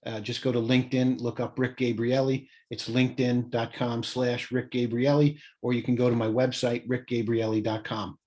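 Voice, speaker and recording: A man's voice: medium pitch, level tone, moderate pace, hardly any pauses, darkish tone. A man in his late fifties telling personal stories as a guest on a video call, acting out bits of dialogue. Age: late 50s. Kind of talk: anecdotal interview storytelling on a video call. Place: small indoor home office.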